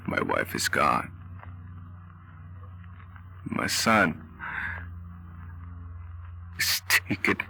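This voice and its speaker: A man voicing a grieving father in his thirties, a weary wasteland survivor, in a halting, dramatic roleplay monologue. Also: rumbling low, sing-song, vocal fry, bright, forceful tone, a little hiss or hum, full character voice. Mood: sorrowful. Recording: background music under the voice.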